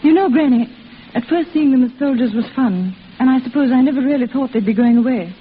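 A woman's voice: high voice, lively intonation, a little fast. An actress playing an eager, lovestruck young woman in a 1940s radio drama, breathless and earnest. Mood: earnest, yearning. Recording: tinny, noisy old radio recording.

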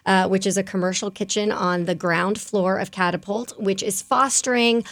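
A woman guest-hosting a local talk show, friendly and quick to laugh as she introduces guests. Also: mid-range voice, steady tone, a bit bright. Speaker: guest host.

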